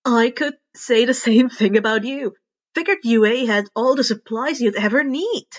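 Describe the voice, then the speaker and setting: Teenage boy hero read by a female narrator, earnest, slightly awkward, high voice, lo-fi story recording. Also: normal speed, close to an everyday voice. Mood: teasing.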